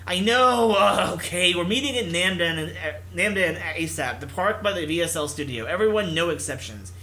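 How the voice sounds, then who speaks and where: A man's voice: high-pitched, animated, moderate pace, bright, forceful tone, slight background noise, exaggerated voice. A man voicing a bossy, exasperated young esports team leader in a visual novel, urgent and scolding. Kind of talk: visual novel character acting.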